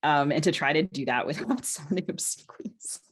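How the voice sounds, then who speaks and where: A woman's voice: deep voice, normal speed, breathy edge. Woman podcast co-host, hesitant and discursive. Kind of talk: casual podcast conversation.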